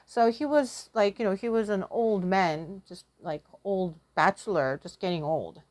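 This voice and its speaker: A woman's voice: medium pitch, measured pace, darkish tone, no room sound. A woman giving a casual lesson through storytelling, chatty and self-deprecating, often thinking aloud as a teacher. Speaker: Korean language teacher.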